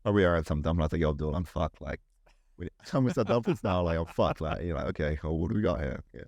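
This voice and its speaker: A man's voice: low voice, expressive, quick pace, a little mellow, no room sound, clean studio sound. A middle-aged man from New Zealand with a New Zealand accent, a podcast guest chatting casually, rambling, repeating words and swearing freely. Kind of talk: casual chat.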